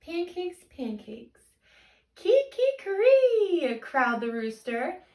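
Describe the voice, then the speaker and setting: A woman hosting storytime like a teacher, reading a picture book to young children in an animated, expressive way. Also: fairly high pitch, normal speed, reverberant, far from the mic. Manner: animated, expressive teacher talk with simple questions and explanations.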